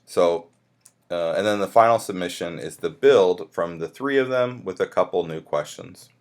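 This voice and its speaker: A man's voice: deep voice, moderate pace. A man speaking as a college instructor, walking students through a course in an informal, conversational and reassuring way with self-corrections. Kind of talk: informal course orientation lecture, explaining syllabus to students.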